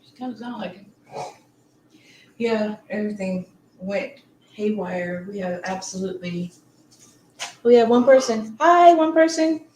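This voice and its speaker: A woman cutting in with quick remarks in a casual chat. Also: medium pitch, a little mellow, distant mic. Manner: quick interjections. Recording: echoey room with background noise.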